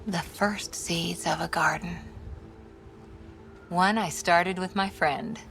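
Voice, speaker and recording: A woman's voice: fairly low pitch, fairly even intonation, slow, gravelly, fairly bright tone, a little hiss or hum, exaggerated voice. A woman playing a wry, tough alien adventurer in a video game, talking in short, dry, plain-spoken phrases. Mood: reflective. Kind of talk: naturalistic video game acting.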